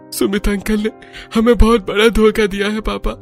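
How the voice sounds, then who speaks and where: A man's voice: high voice, flat delivery, normal speed, vocal fry, faint music behind. A man playing a distraught adult son in a melodramatic cartoon family story.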